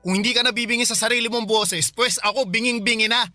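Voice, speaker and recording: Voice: mid-range voice, steady tone, pushed and bright, faint music behind, natural voice. An actor playing a defiant young brother. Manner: defiant, sulky, retorts quickly. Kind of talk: radio drama acting.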